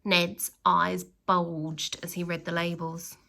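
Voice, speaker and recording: A woman reading a children's story aloud expressively, with theatrical, drawn-out emphasis on key words. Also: fairly low pitch, level tone, vocal fry, sharp tone.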